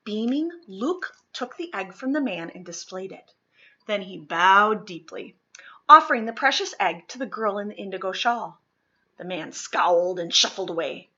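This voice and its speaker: Bright-voiced woman reading a storybook aloud. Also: mid-range voice, muffled recording. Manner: expressive, deliberate phrasing with dramatic pauses and emphasis. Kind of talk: dramatic children's picture-book read-aloud.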